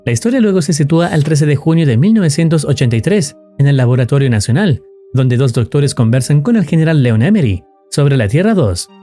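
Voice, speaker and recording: A man's voice: fairly high pitch, expressive, a little fast, hardly any pauses, dark tone, soft background music. A man reading scripted narration in the role of a storyteller explaining a tale.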